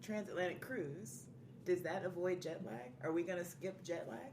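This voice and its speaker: A woman's voice: on the low side, expressive, normal speed, a little mellow. A woman guest chatting casually on a podcast. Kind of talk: casual chat. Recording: echoey, distant mic, faint room noise.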